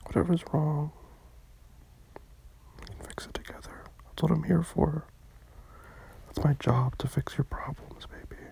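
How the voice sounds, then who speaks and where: A person playing a tender, protective romantic partner in an intimate comfort roleplay, speaking in hushed, soothing, breathy murmurs. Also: mid-range voice, steady tone, a little slow, long pauses, in a whisper, poor audio. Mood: tender reassurance.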